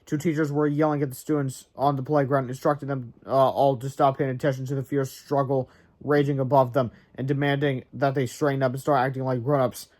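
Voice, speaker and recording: A man's voice: mid-range voice, flat delivery, brisk, darkish tone. A man narrating a storybook aloud in a dramatic way, with casual asides.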